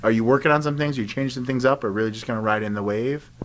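A man's voice: medium pitch, racing, a little hiss or hum. A man in his thirties doing a casual, joking interview on a handheld microphone. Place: indoor backdrop set with couch at a competition venue.

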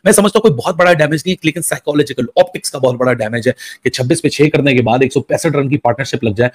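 Fast-talking analytical cricket man, dry sound. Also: mid-range voice, breathy edge, no room sound. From Pakistan.

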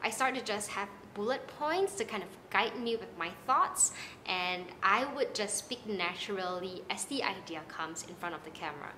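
A young woman from Malaysia with a Malaysian accent, giving casual, animated advice to the camera from her living room. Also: medium pitch, normal speed, a little hiss or hum, low-quality recording. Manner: chatty and animated, gesturing with her hands. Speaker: content creator. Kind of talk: casual talking-head vlog advice. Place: small apartment living room, indoors.

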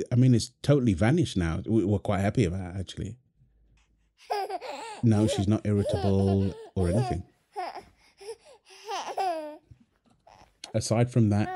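A man playing a playful, doting young husband and father in a soft, tender ASMR roleplay, teasing as he talks. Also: lowish voice. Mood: polite, friendly. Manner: teasing, tender, chuckles often while talking. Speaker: audio roleplay performer. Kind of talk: ASMR boyfriend roleplay, one-sided dialogue.

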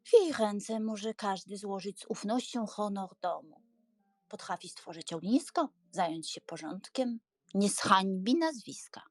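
A woman's voice: mid-range voice, expressive, unhurried, dry recording. A woman playing an earnest, prim old spinster aunt in a dramatised audiobook, with an emphatic, moralising, fussy manner. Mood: earnest, coaxing. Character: elderly spinster aunt, earnest, prim, easily scandalised matchmaker.

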